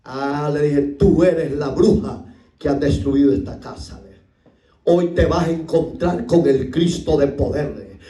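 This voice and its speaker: A middle-aged man from El Salvador with a Salvadoran accent, preaching a sermon as a pastor and acting out the voices in his stories, rising to shouts. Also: on the high side, a little slow, far from the mic. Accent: Salvadoran Spanish. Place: church hall. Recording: echoey room, voice from off the mic.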